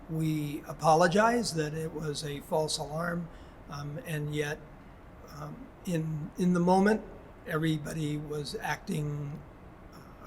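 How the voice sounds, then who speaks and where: A man with a fairly high voice gives a formal address as a school official. He speaks very slowly and haltingly, choosing his words with care. There is faint noise behind him. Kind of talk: public address, prepared statement spoken haltingly.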